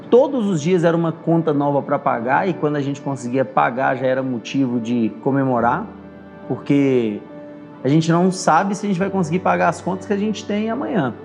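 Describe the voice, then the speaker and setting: A man's voice: a little high, darkish tone. Earnest man in his thirties, storytelling. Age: 30s. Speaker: barbershop owner and course creator. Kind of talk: scripted personal story narration. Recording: faint music underneath.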